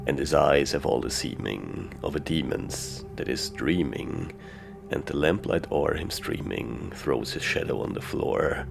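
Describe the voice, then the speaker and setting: Extremely deep, gravelly man narrating gothic verse. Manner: theatrical, measured, solemn. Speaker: narrator and video creator. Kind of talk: dramatic gothic poem recitation. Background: background music.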